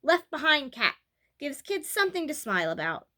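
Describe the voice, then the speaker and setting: A woman's voice: high voice, very animated, normal speed, voice pushed up, heavily acted. A woman voicing an earnest, bold yet easily flustered eight-year-old girl in a storybook reading. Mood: performing, flustered. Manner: loud, clear declaiming when performing.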